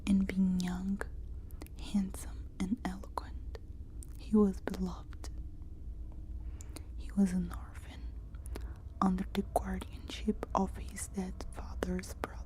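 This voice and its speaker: A woman reading a story aloud as whispered ASMR narration, in short, deliberate phrases. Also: mid-range voice, monotone, dark tone, poor audio. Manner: slow, deliberate delivery in short, separated phrases.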